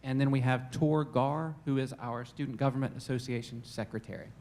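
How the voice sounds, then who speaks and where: A man's voice: medium pitch, fairly even intonation, brisk, darkish tone, a little hiss or hum. A man, a college dean, moderating a panel discussion, hesitant, with many "uh"s and repeated words. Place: campus event room.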